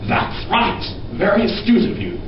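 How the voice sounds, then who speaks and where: A young man playing an excitable scientist puppet, lecturing theatrically in an amateur educational show. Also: sits high, moderate pace. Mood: keen, lecturing. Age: young adult. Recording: very echoey room, distant dull noisy microphone.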